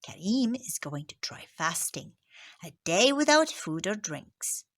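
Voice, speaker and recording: A woman teacher reading a picture book aloud to children, expressive and dropping into soft whispers on headings and asides. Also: mid-range voice, measured pace. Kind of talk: children's story read-aloud.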